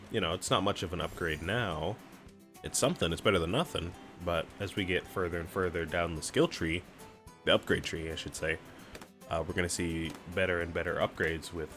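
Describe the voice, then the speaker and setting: A man with a deep, low voice talks through a game tutorial in an easygoing, explanatory way. His voice is a little raspy. Faint game music plays behind him. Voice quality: raspy, clears throat often. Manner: relaxed, explanatory, reads on-screen prompts aloud. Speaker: gaming streamer. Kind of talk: casual let's-play commentary.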